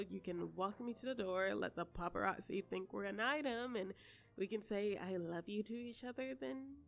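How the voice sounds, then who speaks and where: A woman playing a polite, flustered pop star who wants privacy, speaking haltingly and conversationally in an intimate audio roleplay. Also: mid-range voice, natural voice. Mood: earnest, persuasive.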